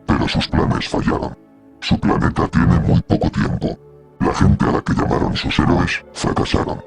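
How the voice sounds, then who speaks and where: A man playing a menacing, monstrous horror villain with a gravelly growl and a slow, ominous delivery over music. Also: close to an everyday voice. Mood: cold menace. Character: menacing monstrous villain speaking doom to humanity. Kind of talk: analog horror voice acting.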